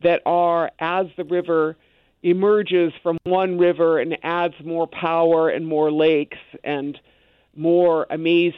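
A man with a somewhat high voice talks through a tinny, thin phone line. His speech is loose and conversational and full of asides. The sound is dry and close. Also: flat delivery, slow, clear, resonant tone, dry recording. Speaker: guest. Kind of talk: casual conversation on a radio show.

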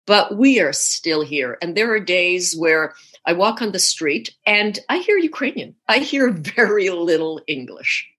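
A woman's voice: fairly low pitch, lively intonation, unhurried, a bit bright, breathy edge. A woman being interviewed, recalling her community with light laughs.

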